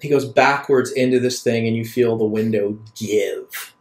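A man running a tabletop role-playing game as game master, improvising narration in a halting, deliberate way with dramatic pauses. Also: medium pitch, fairly even intonation. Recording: roomy echo with some background noise.

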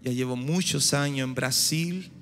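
A man's voice: mid-range voice, unhurried. A man in his forties, born in Chile, preaching a fervent sermon into a handheld mic on a big church stage. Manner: fervent, rising to shouts and exclamations. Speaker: pastor. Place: large church stage before a big congregation. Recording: echoey hall with music behind.

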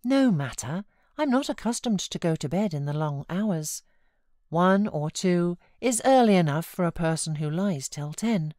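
A leisured gentleman, read by a woman speaks in a British accent, polite and emphatic, in an audiobook. The pitch is low for a woman and the recording is dry. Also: lively intonation, measured pace, no echo. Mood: dismissive. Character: leisured, insistent gentleman listener.

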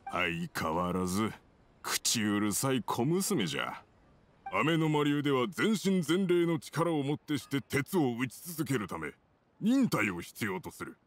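Anime game role: gruff elderly craftsman, scolding and curt, very varied intonation. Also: medium pitch, sing-song, a little slow. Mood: stern. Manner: curt, scolding, old-fashioned speech. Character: stern, gruff, grumbling old master swordsmith.

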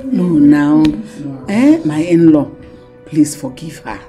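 An actress with a Nigerian accent playing an imploring in-law in a film drama, interjecting with pleas. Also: female voice, deep voice, sing-song, takes it slowly, music in the background, exaggerated voice. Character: imploring in-law begging forgiveness for a young woman.

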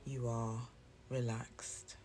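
A soothing, hushed voice guiding a relaxation meditation with deliberate, long pauses between phrases. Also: mid-range voice, flat delivery. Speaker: meditation guide. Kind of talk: guided meditation, scripted narration. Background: soft instrumental music.

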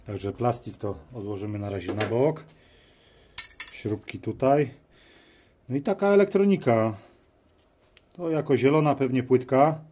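A man giving casual hands-on commentary, musing aloud in short, halting phrases as he works at a workshop bench. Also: medium pitch, mellow. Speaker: host of a DIY teardown video. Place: workshop at a cluttered metal bench. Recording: noisy workbench with clicks and clatter. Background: tool clicks and metallic clatter.

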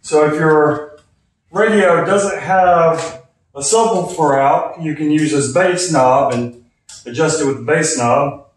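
A man's voice: on the high side, slow. A man in his thirties giving a casual, offhand hands-on product review and tutorial while he works. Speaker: host of a DIY car audio video. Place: home garage, at the car and workbench. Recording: roomy echo, faint noise and clicks.

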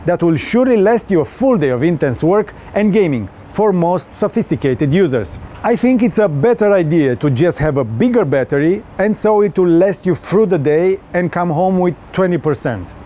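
A man's voice: high-pitched, expressive, moderate pace, few pauses, dark tone. A young man with a Romanian accent, giving an earnest, slightly stilted scripted review of a phone with small jokes. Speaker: tech reviewer.

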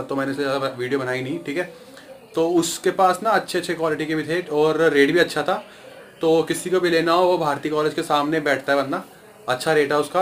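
A young man in his twenties chatting casually to his phone camera as a vlogger, leaning on fillers. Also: on the high side. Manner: chatty, repeats "theek hai" as a filler. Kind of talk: casual vlog chat. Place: small indoor room. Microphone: phone camera at arm's length. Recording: noisy street while riding.

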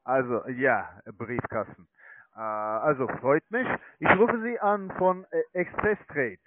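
Man, telephone sales pitch, non-native broken phrasing, hesitant, slow, tinny phone audio. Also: a little hiss or hum. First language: not German. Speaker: telemarketing caller, online trading account manager. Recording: tinny narrowband phone line.

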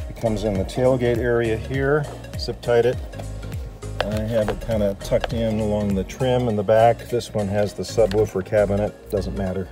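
An American man, around his fifties, chatting casually and thinking aloud as he explains his gear hands-on. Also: lowish voice. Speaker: vlogger demonstrating Jeep radio gear. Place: outdoors by a vehicle and inside a truck cab.

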